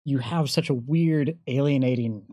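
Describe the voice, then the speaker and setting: A man's voice: mid-range voice, moderate pace, mellow, no room sound. A man talking casually as a game designer on a discussion panel.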